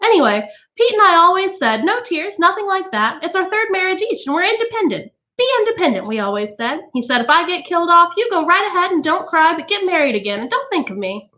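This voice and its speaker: A woman's voice: extremely high pitch, big pitch swings, brisk, few pauses, bright, forceful tone, voice pushed up, full character voice. A woman voicing a breezy, self-absorbed, giggly society wife in a storybook, chattering flippantly. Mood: carefree, giggly.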